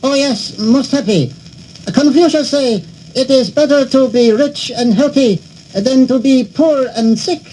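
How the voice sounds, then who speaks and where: A man's voice: very high voice, unhurried, warm tone, slight background noise, poor audio. A man voicing a pompous elderly male philosopher in a cartoon, speaking in stilted, clipped broken English.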